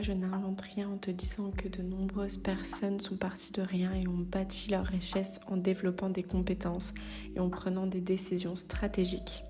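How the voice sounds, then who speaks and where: A woman's voice: medium pitch, warm tone. Woman giving upbeat advice over music. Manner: direct, addresses listener informally, occasional hesitations. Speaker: podcast host. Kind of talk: motivational solo podcast talk.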